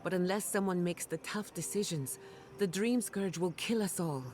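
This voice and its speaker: Woman playing a grave, unyielding low-voiced pragmatist. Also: moderate pace, a little mellow, slight background noise, lightly acted. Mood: resolute. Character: hard-nosed, pragmatic female companion, coolly resolute. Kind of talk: dramatic game cutscene acting.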